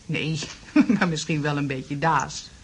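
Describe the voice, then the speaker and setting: Husky landlady in a radio play, motherly and chatty, fusses a bit. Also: low-pitched, fairly even intonation, takes it slowly, full character voice. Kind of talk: radio drama acting.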